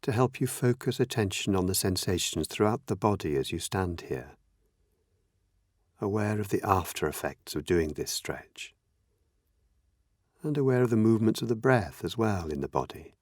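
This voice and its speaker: A man with a fairly low voice guides a meditation in a close, dry recording. His delivery is gentle and measured, with lively rises and falls in pitch. He leaves long pauses between slow, simple instructions. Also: no room sound. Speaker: meditation teacher.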